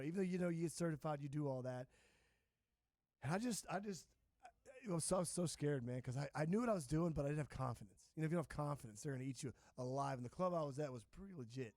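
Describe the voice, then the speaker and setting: A man's voice: medium pitch, darkish tone, no echo. A man hosting a casual interview show, informal and often chiming in with short interjections.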